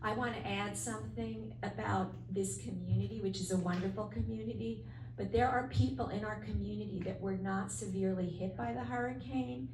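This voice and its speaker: A woman with a soft voice representing her neighborhood, speaking heartfelt words at a public meeting. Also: mid-range voice, fairly even intonation, brisk. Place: meeting hall. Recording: echoey hall from far off, noisy.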